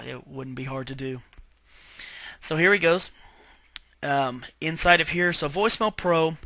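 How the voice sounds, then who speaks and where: Male tech trainer, slightly high bright voice, dry close sound, informal step-by-step screen walkthrough. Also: no room sound. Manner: step-by-step explaining, checking in with okay. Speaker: IT trainer presenting software tutorial.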